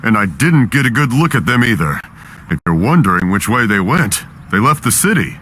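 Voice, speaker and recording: A man's voice: on the low side, very animated, normal speed, a bit bright, a little hiss or hum. An actor voicing an old, kindly father who is hard of hearing, worried and apologetic, in a game. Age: older. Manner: worried, apologetic, tired sighs. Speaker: game character. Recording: game audio with music behind.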